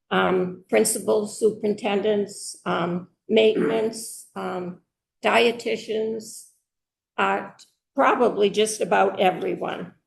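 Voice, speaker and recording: An older woman from Massachusetts with heavy vocal fry and an unhurried pace. She gives a deliberate personal introduction in a meeting room, with a faint rumble of noise behind her. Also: low-quality recording. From Belmont, Massachusetts, US. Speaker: retired teacher, committee applicant. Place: indoor public meeting room.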